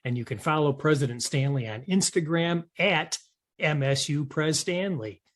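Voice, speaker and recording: A man with a slightly high voice hosts an interview program. His delivery is scripted, moving through prepared questions and announcements. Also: moderate pace. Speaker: interview show host.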